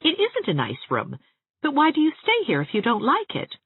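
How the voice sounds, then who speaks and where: A woman voicing a warm, outspoken young woman with an earnest, gushing way of talking, in an audiobook. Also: high voice, wildly swooping pitch, moderate pace, phone-quality sound, voice pushed up, exaggerated voice. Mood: earnest, candid. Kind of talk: audiobook character acting.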